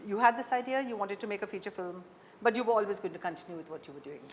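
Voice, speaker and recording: A woman's voice: medium pitch, lively intonation, rapid-fire. A woman with an Indian accent, asking questions as a conversational interviewer. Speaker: interviewer, host. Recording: faint background noise.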